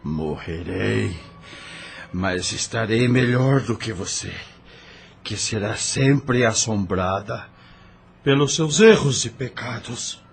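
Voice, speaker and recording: Man voicing a dying prisoner, deep, husky, slow, calm, defiant, audio drama. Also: full character voice. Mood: serene defiance. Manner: slow, grave, serene defiance.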